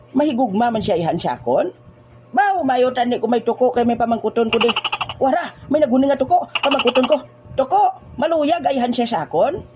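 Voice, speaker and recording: A woman's voice: fairly high pitch, darkish tone. An actress playing a lonely aging woman who laughs often and teases her friend in a comic audio drama. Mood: wistful. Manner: laughs a lot, teasing and wistful. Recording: background music.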